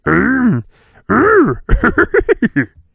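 A man's voice: very high-pitched, wildly swooping pitch, very slow, creaky, a bit bright, breathy edge, no room sound, clean studio sound. A man hosting a podcast, giving a mock-dramatic storybook reading and breaking off for irreverent, crude asides. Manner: theatrical, irreverent, often breaking off to comment. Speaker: podcast host.

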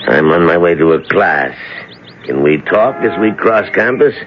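An evasive, touchy professor in an old radio play. His voice is low and husky and moves expressively up and down. The sound is dull, like an old broadcast. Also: animated, moderate pace, background noise. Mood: defensive. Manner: defensive, indignant. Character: evasive, prickly philosophy professor. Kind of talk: 1970s radio drama acting.

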